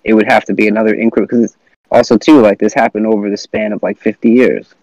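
A man's voice: on the low side, flat delivery, fast talker, a little mellow, dry recording. A man in his twenties chatting casually about politics in an opinionated, slangy way, recorded on dull lo-fi audio. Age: 20s. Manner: opinionated, informal, slangy with profanity.

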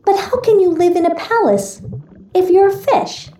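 A woman's voice: extremely high pitch, normal speed, mellow, slight background noise, voice pushed up. A woman doing a sweet, eager young girl. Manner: sweet and friendly. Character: curious, sweet-natured young girl adventurer. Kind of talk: storybook reading.

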